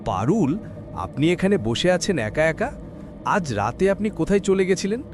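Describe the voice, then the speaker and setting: A man's voice: high voice, big pitch swings, normal speed, background noise. A man voicing a polite, smitten young city-raised son of a village headman in a cartoon horror story. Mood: polite, curious.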